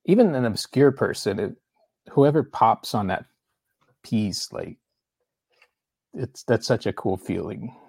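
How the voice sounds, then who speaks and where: A man who is a guest artist on a podcast, talking in a casual, halting way with frequent restarts. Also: fairly low pitch, lively intonation, creaky, darkish tone, husky, no echo, clean studio sound. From United States. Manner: hesitant, halting, restarts often. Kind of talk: casual conversation.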